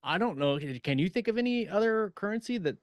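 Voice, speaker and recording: A man co-hosting a podcast in casual chat, thinking aloud in a halting, tentative way. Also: high-pitched, lively intonation, no room sound. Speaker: podcast co-host, science fiction author. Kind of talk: casual chat about writing craft.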